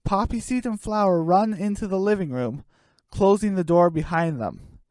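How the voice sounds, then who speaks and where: A woman's voice: mid-range voice, moderate pace, darkish tone, dry recording. A woman narrating a scripted comic radio play in a storytelling style.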